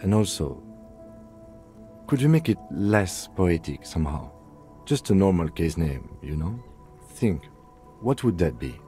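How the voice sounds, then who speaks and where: A man playing an earnest, reserved police lieutenant in a video game, with measured, polite, deadpan delivery. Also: low voice, faint music behind. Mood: puzzled, polite. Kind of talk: video game dialogue acting.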